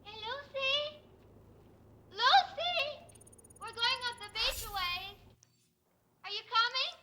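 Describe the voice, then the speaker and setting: An excitable young woman in an old radio play. She calls out eagerly in a girlish, extremely high and piercingly bright voice on an old recording. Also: takes it slowly, exaggerated voice. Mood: calling. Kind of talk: old radio drama acting.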